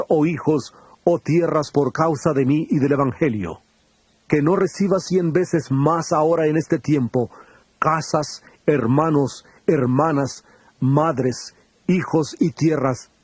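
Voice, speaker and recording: An actor playing Jesus as a calm, earnest teacher, speaking in a solemn, measured tone in a dramatized scripture recording. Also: male voice, fairly high pitch, husky, no room sound.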